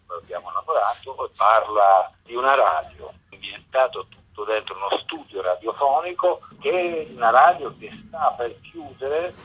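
A man's voice: medium pitch, breathy edge. A man, a theatre director interviewed over the telephone and explaining his own work. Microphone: phone call. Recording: dull telephone line.

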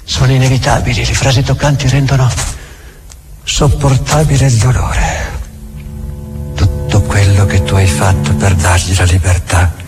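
A man acting a bitter, halting Grand Inquisitor, music behind. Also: mid-range voice, little pitch movement, lightly acted. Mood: bitter scorn. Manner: halting, repeats words, deliberate pauses. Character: old Grand Inquisitor, bitter, domineering, mocking churchman. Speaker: stage actor. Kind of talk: theatrical radio drama monologue.

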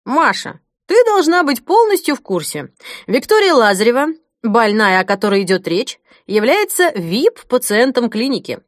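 A woman voicing a confident male chief doctor in an audiobook, brisk, familiar and persuasive, explaining with emphasis. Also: fairly high pitch, very animated, no room sound, studio quality. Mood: persuasive, authoritative. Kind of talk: audiobook character dialogue.

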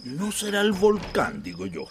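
A man's voice: high voice, wildly swooping pitch, a little slow, a little hiss or hum, heavily acted. An actor playing a folksy, evasive older father in a radio drama, repeating himself. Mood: placating. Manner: chuckling, repetitive, folksy. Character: evasive rural father, chatty, dismissive older man.

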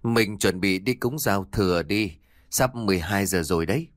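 A man's voice: low voice, normal speed, no room sound, clean studio sound, natural voice. A man voicing a frail dying wife who speaks in a faint, whispery way.

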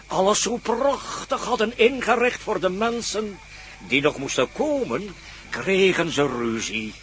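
An actor playing a solemn storyteller who recounts an ancient legend in a radio play, with deliberate, dramatic phrasing. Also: male voice, high voice, fairly bright tone, slight background noise, exaggerated voice.

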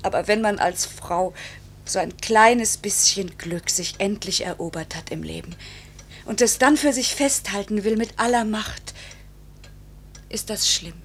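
An actress playing a pilot's anguished young wife in a 1950s German radio drama, pleading and accusing in a halting, emotional, breathy delivery. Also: female voice, mid-range voice, lightly acted. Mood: bitter, pleading. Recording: hissy 1950s radio recording, faint hum.

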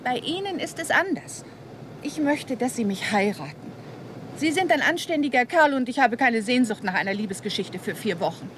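A woman's voice: a little high, animated, normal speed, a bit bright. A woman playing a seductive, lonely Hollywood actress in a 1960s German radio crime drama, coquettish and insistent. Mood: pleading, earnest. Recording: 1960s radio play with background noise.

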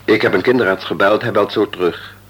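An actor playing a calm, kindly family doctor in a Dutch radio play, with a reassuring, businesslike bedside manner. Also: male voice, on the low side, quick pace, close to an everyday voice. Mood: serious, concerned. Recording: noisy old radio play recording.

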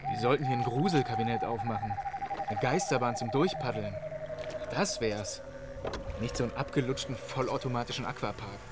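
A man's voice: mid-range voice, a little fast, lightly acted. An actor in a radio play voicing a brash young man, cocky, slangy and sarcastic, out in a marsh at night. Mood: defiant. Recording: loud outdoor noise.

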